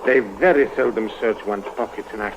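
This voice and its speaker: A man's voice: on the low side, a little fast, close to an everyday voice. A fussy, gullible little Greek crook played by a male actor in an old radio play. He speaks hesitant, accented English in an anxious, coaxing way. The recording is muffled, with faint hiss. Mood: wary, confiding. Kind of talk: old-time radio drama acting.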